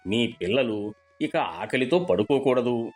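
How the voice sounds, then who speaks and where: A man's voice: medium pitch, animated, unhurried, darkish tone, natural voice. A man playing a kindly, grateful village uncle who gives affectionate advice in a moral story. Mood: earnest, advising. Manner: affectionate, advising. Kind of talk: dramatised storybook dialogue. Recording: music behind the voice.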